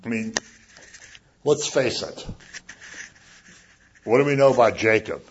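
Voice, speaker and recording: A man preaching a sermon as a pastor, chatty and animated, acting out little voices as he talks. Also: medium pitch, unhurried, highs cut off. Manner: conversational, animated, acts out voices.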